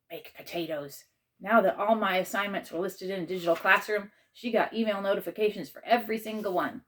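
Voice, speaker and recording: A woman reading a children's story aloud as an expressive, dramatizing narrator. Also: lowish voice. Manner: animated, dramatizes a child's first-person tale. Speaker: author and teacher reading aloud.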